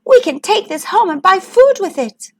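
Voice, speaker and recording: A woman's voice: very high voice, big pitch swings, normal speed, voice pushed up, full character voice. A woman voicing a clever, plucky little girl in a children's fairy tale, sounding innocent. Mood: excited.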